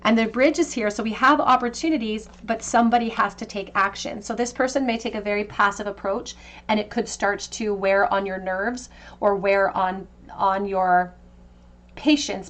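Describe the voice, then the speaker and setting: A woman reading tarot cards for her viewers, talking in a gentle, conversational, intuitive way. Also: medium pitch, steady tone, normal speed. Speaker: tarot reader. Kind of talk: tarot card reading to camera.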